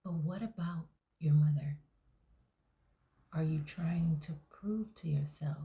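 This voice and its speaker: A woman plays a patient therapist in a comedy sketch who speaks in a slow, breathy near-whisper. Her voice is low and mellow, and she keeps her tone gentle and probing. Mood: soothing. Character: soft-spoken calm female therapist, patient and probing.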